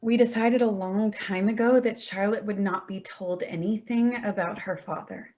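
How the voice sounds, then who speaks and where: A woman's voice: medium pitch, fairly even intonation, moderate pace, mellow, natural voice. A woman playing an exasperated, defensive adult daughter in a staged play reading, speaking tersely and bristling. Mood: guarded, terse.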